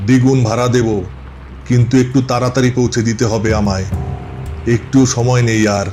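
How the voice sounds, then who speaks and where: A mysterious night passenger voiced by a male narrator makes urgent requests in a cartoon ghost story. His voice is mid-pitched, with clear music behind it. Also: little pitch movement, normal speed, a little mellow, lightly acted. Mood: pressing, urgent. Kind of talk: animated ghost story dialogue.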